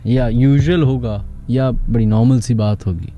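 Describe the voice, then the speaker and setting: A man's voice: medium pitch, unhurried, dull audio. A man with a Pakistani accent hosting a radio horror show, telling eerie stories in a theatrical yet conversational way over music. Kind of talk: dramatic horror storytelling for radio.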